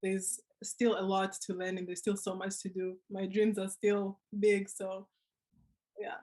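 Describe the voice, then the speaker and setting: A woman's voice: medium pitch, monotone, a little slow. Earnest young woman sharing her story. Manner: earnest, reflective, with soft laughs. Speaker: panel speaker. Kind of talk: personal story on a panel.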